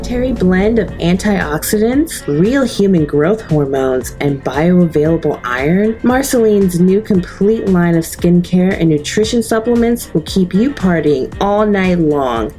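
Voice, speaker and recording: An actress playing a polished announcer, reading a scripted beauty advertisement. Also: female voice, fairly low pitch, lively intonation, unhurried, few pauses, warm tone, soft background music. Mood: promotional. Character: polished female announcer in a satirical beauty commercial.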